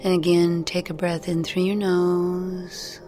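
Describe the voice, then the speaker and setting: A woman guiding a meditation in a gentle, soothing, unhurried way, leaving long pauses between phrases. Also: lowish voice, level tone. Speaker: meditation guide. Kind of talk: guided meditation narration. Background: music.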